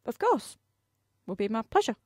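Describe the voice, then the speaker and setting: A courteous but demanding office boss played by a woman in a radio play. The voice sits fairly high and is recorded close and dry, with formal, officious phrasing that treats big requests breezily. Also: very animated, moderate pace, a little mellow, no room sound. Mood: courteous, persuasive.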